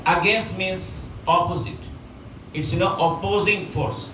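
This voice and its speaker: A man's voice: high-pitched, steady tone, a little slow, pushed and bright. A man teaching prepositions, repeating example sentences and using many fillers. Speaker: English teacher. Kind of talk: spoken English lesson. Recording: echoey room, mic at a distance, background noise.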